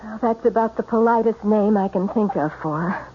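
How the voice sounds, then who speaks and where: Earnest, husky-voiced wife, played by an actress. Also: female voice, mid-range voice, brisk, natural voice. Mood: anguished, resolute. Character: dutiful, conscience-bound wife torn by forbidden love. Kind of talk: 1970s radio drama acting. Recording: dull, band-limited radio drama recording.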